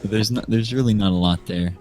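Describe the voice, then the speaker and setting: A man of nineteen with an American accent, chatting and telling stories as a podcast guest in a hesitant, unhurried way. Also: on the low side. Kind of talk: casual storytelling chat. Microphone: remote voice call microphone. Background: soft music.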